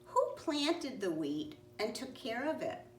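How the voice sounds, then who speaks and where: A woman plays a busy, self-reliant little hen from a children's storybook. She speaks emphatically and firmly, with her pitch lifted. The recording is roomy and slightly distant, with faint noise. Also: sing-song, slow. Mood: indignant. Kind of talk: children's storybook read-aloud.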